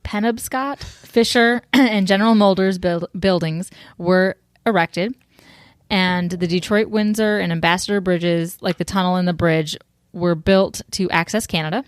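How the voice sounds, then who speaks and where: A woman co-hosting a podcast in casual chat, talkative and quick to laugh, with blunt swearing. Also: lowish voice, fairly even intonation, clear, resonant tone, no echo. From Michigan, US. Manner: chatty, swearing, laughs often. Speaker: podcast co-host.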